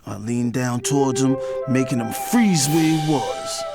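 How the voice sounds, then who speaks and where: A man's voice: mid-range voice, expressive, unhurried. An American man playing a hot-tempered, swaggering record label boss who narrates his own story in brash slang for an audio drama. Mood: menacing, cocky. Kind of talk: first-person audio drama narration with dialogue. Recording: loud music behind the voice.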